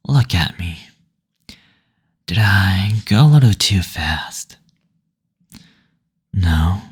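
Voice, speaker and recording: A man playing a gentle, teasing demon in an intimate roleplay audio, speaking in a breathy, soothing, attentive way. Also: on the low side, full character voice. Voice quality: breathy, husky. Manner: slow, soothing, tender, attentive. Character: gentle teasing male demon, brothel receptionist.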